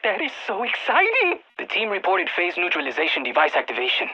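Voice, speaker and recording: Bright, excitable nerdy game scientist. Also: male voice, high voice, a little fast, husky, muffled recording, heavily acted. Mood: fascinated, tense. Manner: geeky, eager, precise technical talk. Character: excitable nerdy male field scientist guiding soldiers by radio. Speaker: mission-support scientist character in a shooter game. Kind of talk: scripted game voice lines, radio briefings.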